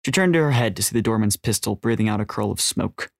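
Low-voiced male fiction narrator. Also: lively intonation, quick pace, gravelly, no room sound, clean studio sound. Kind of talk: scripted audiobook fiction narration.

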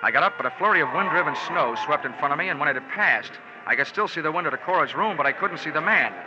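A man's voice: a little high, fast, close to an everyday voice. Actor as wry hard-boiled 1940s radio detective man. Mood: wry, tense. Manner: deadpan, sardonic. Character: wry hard-boiled male freelance insurance investigator. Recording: dull 1940s radio recording with music.

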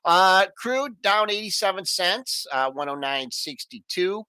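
Brisk man rattling off market numbers. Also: sits high, expressive, sharp tone, smooth, no room sound. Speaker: co-host. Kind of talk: rapid market recap.

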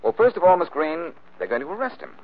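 An actor playing a cool, sardonic amateur detective in the style of a 1940s radio drama. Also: male voice, fairly high pitch, wildly swooping pitch, quick pace. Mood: sly. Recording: muffled, faintly noisy old radio recording.

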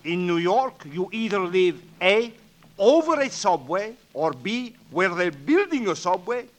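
An actor playing a dismissive, argumentative New York landlord in a 1940s radio comedy. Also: male voice, sits high, big pitch swings, measured pace. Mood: blustering. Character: brash, blustering New York landlord, fast-talking and dismissive.

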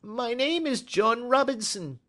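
A man voicing a small, nervous, excitable male thief in an audiobook story, with a thin, cracking, deferential voice. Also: unhurried, heavily acted. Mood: reluctant, nervous. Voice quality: high, thin, quavering, cracking. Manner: agitated, deferential.

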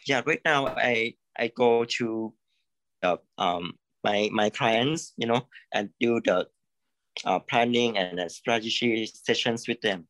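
A man from Thailand, a creative consultant, speaking in a halting way, repeating words and saying "you know" often. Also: mid-range voice, fairly bright tone, dry recording, low-quality recording. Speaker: coaching client, creative consultant. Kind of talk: live coaching session.

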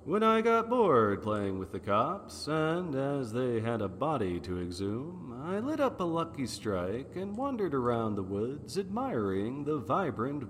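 A wry 1940s noir detective, acted by a man, telling his case in a slow radio drama delivery. His pitch swings widely between wisecracks, with long reverb around the voice. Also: a little hiss or hum. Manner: wry, deadpan.

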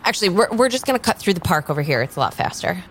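A woman playing a casual, friendly young tarot reader in an improvised audio drama, talking in a halting, conversational way. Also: fairly low pitch, sing-song, a bit bright, slight background noise. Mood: slightly puzzled.

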